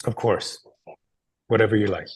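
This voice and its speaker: A man's voice: lowish voice, normal speed, breathy edge. A man playing a courteous bank teller in his twenties in a script read, businesslike. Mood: polite, businesslike. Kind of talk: dramatic script table read.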